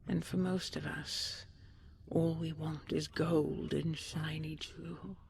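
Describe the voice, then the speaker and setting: Woman voicing a slow, half-whispering regal dragon queen. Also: low voice, flat delivery, a little hiss or hum, exaggerated voice. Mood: wise, wistful. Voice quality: soft, breathy, often half-whispered. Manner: slow, measured, stately, with lingering pauses. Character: ancient dragon queen, tender, regal, wistful lover. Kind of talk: intimate audio roleplay for sleep, ASMR-like.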